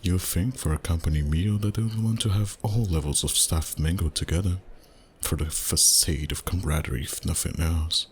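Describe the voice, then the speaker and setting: Man playing a very low-pitched, flirty vampire boss. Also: fairly even intonation, a little hiss or hum. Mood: smooth, possessive. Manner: teasing, unhurried, courtly phrasing with pet names. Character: male vampire boss, dominant, flirty, suave. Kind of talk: intimate roleplay ASMR.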